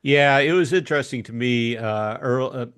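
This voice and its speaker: A man co-hosting a casual book discussion, thinking aloud in a hesitant, halting way. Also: medium pitch, a bit bright, clear, resonant tone.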